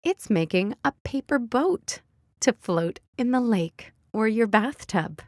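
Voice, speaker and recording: A woman hosting a children's read-aloud, narrating and teaching early reading in simple, deliberate wording. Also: fairly high pitch, expressive, darkish tone, dry recording, studio quality. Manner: simple, deliberate wording, instructing young readers. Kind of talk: slow phonics storybook read-aloud for young children.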